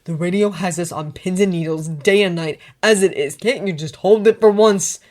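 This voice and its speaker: A woman's voice: on the low side, moderate pace, darkish tone, lightly acted. A woman voicing a weary, guarded teenage wizard who talks in a tense, clipped way.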